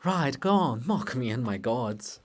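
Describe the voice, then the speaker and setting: A man playing a proud, quick-tempered ancient pagan woman in a dramatic play, exclaiming and grumbling with indignation. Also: mid-range voice, expressive. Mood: indignant.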